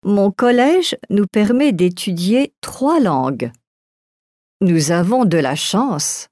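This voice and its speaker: A woman giving a careful, clearly articulated scripted reading for a language course. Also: medium pitch, big pitch swings, takes it slowly, warm tone, no echo, clean studio sound. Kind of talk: slow scripted reading for learners.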